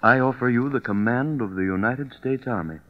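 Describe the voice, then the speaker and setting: An actor playing a formal, earnest government envoy who pleads respectfully, in old-time radio drama style. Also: male voice, mid-range voice, brisk, big-room sound, lightly acted. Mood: formal, urging. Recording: dull vintage radio recording.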